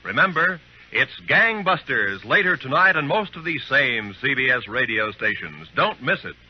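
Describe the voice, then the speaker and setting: A man's voice: medium pitch, big pitch swings, moderate pace, a little hiss or hum. A man with an American accent, announcing a scripted promo as a 1950s radio announcer. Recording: muffled old radio recording.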